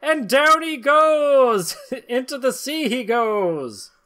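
A man's voice: very high-pitched, lively intonation, slow pace, pushed and bright, smooth. A man in his thirties or forties hosting a comedy podcast, bantering and reading narration in mock-dramatic style. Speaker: podcast host. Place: small room at home, video call.

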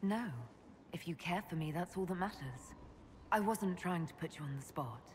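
Low, composed woman leader played by an actress. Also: a little hiss or hum, lightly acted. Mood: gentle, direct. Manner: calm, measured, direct. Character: composed, direct young woman mage leader. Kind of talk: video game dramatic acting.

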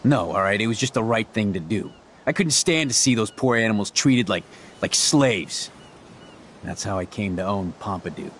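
A volatile, defensive American man in a dramatic video game. His voice is creaky and swings widely in pitch. He talks in a gruff, confrontational, foul-mouthed way, with faint noise around him. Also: animated, normal speed, fairly bright tone. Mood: guarded, brooding.

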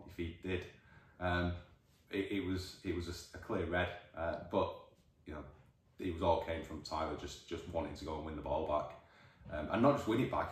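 A man in his forties with a Northern English accent, a football manager giving a measured, thoughtful post-match interview, recorded by a distant camera in an empty changing room. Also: low-pitched. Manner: measured, thoughtful, many restarts. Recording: echoey room, voice from far off.

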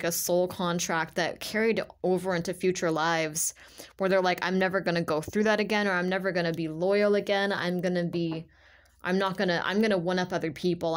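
A woman with a slightly low voice gives an informal tarot reading as a monologue. She talks in a halting, emphatic way, repeating phrases as she feels her way through and leaning often on the word "like". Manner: halting, repetitive, emphatic, filler-heavy with "like". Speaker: tarot reader, channeler.

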